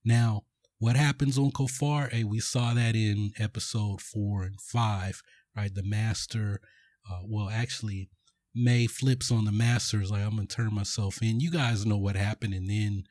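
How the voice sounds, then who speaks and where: A man's voice: on the low side, steady tone, measured pace, a little mellow, no room sound, clean studio sound. A man hosting a podcast, casually retelling a film plot in a rambling way with lots of fillers and restarts. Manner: rambling, filler-heavy storytelling. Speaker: podcast host.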